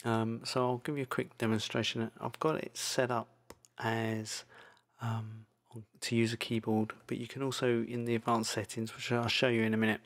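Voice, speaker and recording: Gravelly-voiced man giving a casual tutorial. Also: medium pitch, flat delivery, moderate pace. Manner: hesitant, informal, loosely improvised. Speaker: tutorial presenter. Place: indoors, screen-recording voice-over. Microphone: microphone into audio software.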